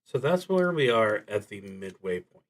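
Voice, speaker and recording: A man streaming a solo tabletop roleplaying game, thinking aloud in improvised commentary with a hesitant, halting delivery that trails off mid-thought. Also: fairly low pitch, big pitch swings.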